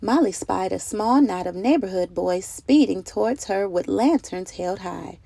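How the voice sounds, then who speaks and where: A woman narrates a children's storybook with expressive, emphatic phrasing. Her voice sits at a medium pitch with some gravelly fry, recorded close and dry. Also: unhurried, no room sound. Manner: dramatic, carefully phrased storytelling with emphatic delivery. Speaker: storybook reader.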